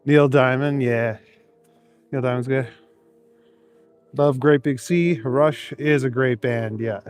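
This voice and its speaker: A man chats through a live stream from cold city streets, answering his viewers as he goes. His voice sits at an ordinary middle pitch, and he chuckles and rambles easily between comments. Faint music plays behind him. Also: slow, darkish tone. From Canada. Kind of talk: casual live stream, reading chat aloud.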